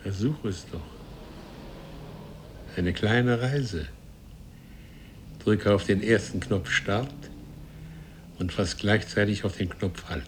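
An actor playing a sly, ingratiating time-travelling scholar in a radio play, coaxing in an insinuating, drawn-out way. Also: male voice, fairly low pitch, slight background noise.

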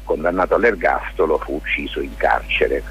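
A mature man, an interview guest, explains things informally and discursively, often hesitating, in a husky, rough voice. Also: rumbling low, moderate pace, a bit bright, muffled recording, a little hiss or hum. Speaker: lawyer and theatre maker, interview guest.

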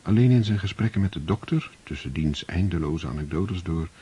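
A man narrating a radio play in a measured, solemn way. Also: sits low, animated, mellow, breathy edge, highs cut off.

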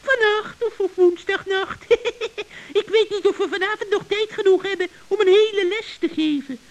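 A woman's voice: extremely high pitch, normal speed. A woman voicing a scheming, cackling witch in an old children's radio play, fawning and tripping over her words. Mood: sly.

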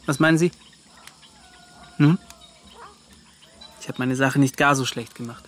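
An actor playing a proud yet self-doubting young adventurer in a German radio play, with a halting, emphatic delivery. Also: male voice, mid-range voice, steady tone, a bit bright, a little hiss or hum, lightly acted. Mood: wistful, defiant. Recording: music behind the voice.

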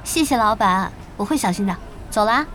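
An actress playing a gentle, polite young female gamer in an audio drama, easygoing and earnest. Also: mid-range voice, lively intonation, fairly quick, close to an everyday voice, poor audio. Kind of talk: Chinese audio drama acting.

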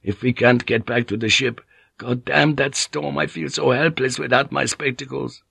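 A man's voice: fairly high pitch, sing-song, normal speed, creaky, a bit bright, husky, dry recording, studio quality, pitched above the performer's own voice, heavily acted. A man voicing an anxious, greedy merchant sailor in an audiobook story, fretful and eager.

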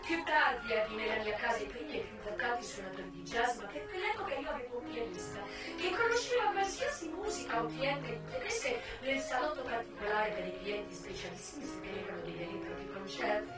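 A woman's voice: on the high side, lively intonation, fairly quick, hardly any pauses, natural voice. An actress playing a brassy, shrewd American brothel madam who recounts her memoirs in a dramatic, declamatory theatrical monologue, savouring her words. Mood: fond reminiscing. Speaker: stage actress. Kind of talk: theatrical first-person monologue. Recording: distant, echoey stage recording with music.

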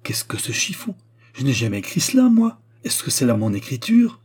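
An imperious, haughty queen of a storybook, acted out by a man, her voice lifted in pitch and a little husky. She speaks with cutting indignation and mocking rhetorical questions. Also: lively intonation, fairly quick, dark tone. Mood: indignant, scornful.

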